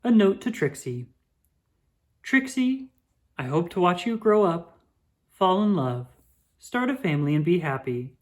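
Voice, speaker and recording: A woman reading a children's picture book aloud with expressive, drawn-out emphasis. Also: fairly low pitch. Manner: animated, dramatic emphasis with drawn-out words.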